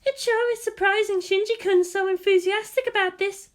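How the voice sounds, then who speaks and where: A narrator putting on a very high, girlish young woman. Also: steady tone, normal speed. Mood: admiring.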